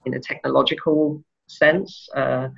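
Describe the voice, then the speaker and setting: A woman from Denmark giving thoughtful interview answers, pausing often with ums and uhs. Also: extremely low pitch, fairly even intonation, vocal fry, slightly rough, highs cut off. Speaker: interview guest, e-commerce manager.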